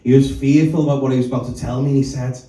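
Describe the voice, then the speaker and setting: A man's voice: mid-range voice, fairly even intonation, racing, slight background noise. A young man doing a brash, self-mocking stand-up set in a small comedy club. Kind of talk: stand-up set with crowd work. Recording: echoey room, distant mic, loud crowd laughter.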